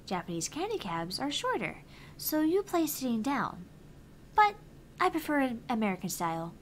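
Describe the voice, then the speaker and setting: A woman's voice: sits high, big pitch swings, moderate pace, slight background noise. A woman voicing a cheerful, easily flustered young female arcade technician in a visual novel, chirpy and stammering. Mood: geeky, explaining. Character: cheerful young female arcade repair techie, eager geek. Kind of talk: visual novel character dialogue.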